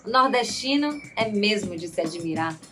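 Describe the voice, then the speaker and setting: A woman's voice: mid-range voice, lively intonation, normal speed, music in the background. A woman from Salvador with a Bahian Brazilian accent, speaking expressively like a stage performer as she declaims and tells stories. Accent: Bahian Brazilian Portuguese. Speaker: guest actress, writer and improviser. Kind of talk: declaimed poetry and personal storytelling.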